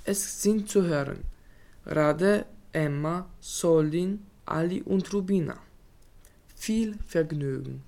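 A woman's voice: low-pitched, slow, gravelly, dark tone. A woman reading a scripted radio announcement to introduce a school play.

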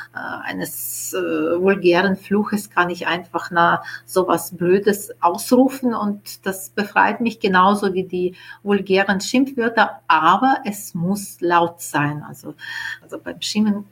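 A woman whose first language is Ukrainian, explaining her research in a studio interview, thoughtful and hesitant with many pauses. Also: medium pitch, a bit bright, slightly rough. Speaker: linguist guest.

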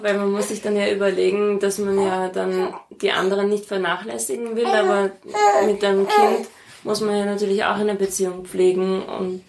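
A woman's voice: medium pitch, vocal fry, a little mellow, slight background noise. A woman with an Austrian accent, answering conversationally as an interview guest.

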